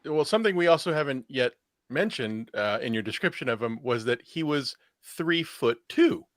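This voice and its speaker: A man gives casual commentary as a co-host. His delivery is animated, with wide swings in pitch around a middle register. The sound is dry and close. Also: normal speed, no room sound. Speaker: show co-host.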